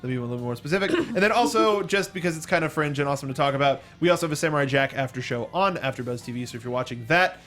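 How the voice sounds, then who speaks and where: A man's voice: a little high, lively intonation, rapid-fire, hardly any pauses, fairly bright tone, faint music behind. A man hosting a review show in a casual, informal and enthusiastic way. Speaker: show host.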